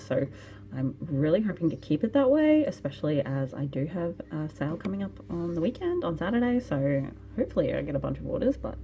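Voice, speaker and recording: A chatty Australian woman in her thirties, talking to her camera at home in a low, mellow voice. She rambles and thinks aloud, and the recording carries a clear hiss of background noise. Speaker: vlogger and small online shop owner. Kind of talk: casual vlog chat. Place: home living room.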